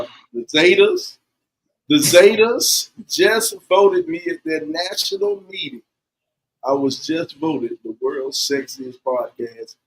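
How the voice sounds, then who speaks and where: A man hosting a casual podcast, teasing his friends and spinning drawn-out jokes. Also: fairly high pitch, fairly bright tone, rough recording. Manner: playful, teasing, drawn-out storytelling. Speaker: podcast host. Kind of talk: casual joking banter.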